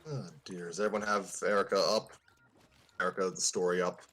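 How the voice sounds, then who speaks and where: A man's voice: deep voice, level tone, fairly quick, a bit bright. A man hosting a casual group podcast, chatting and reading fan fiction aloud.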